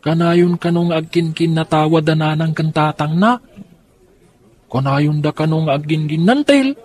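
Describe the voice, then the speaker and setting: Radio drama role: scheming, eager young son played by a man, high voice, Filipino accent. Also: measured pace, a little mellow, lightly acted. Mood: cheerful, curious.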